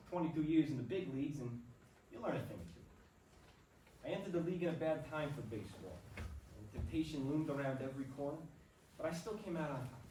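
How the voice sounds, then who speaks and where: Heard from a distance with faint noise, a man plays a boyish young New York ballplayer on stage. The voice sits fairly high and is earnest, in the manner of a play reading. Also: a little mellow, lightly acted. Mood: proud, solemn. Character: New York ballplayer, proud narrator and insecure teenage son. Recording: echoey room, far mic, faint noise.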